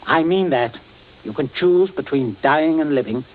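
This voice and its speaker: A man's voice: on the high side, animated, normal speed, close to an everyday voice. An actor playing a coolly menacing middle-aged father who gives curt, icily polite commands in a vintage radio suspense play. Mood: cold menace. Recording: tinny, hissy old radio broadcast.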